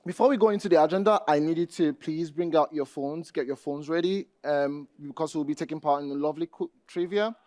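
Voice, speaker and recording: Nigerian guy giving a conference talk, voice a bit high, talks right to the audience, pretty dry sound. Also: a little fast, hardly any pauses, darkish tone, no echo. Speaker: conference speaker, database administrator.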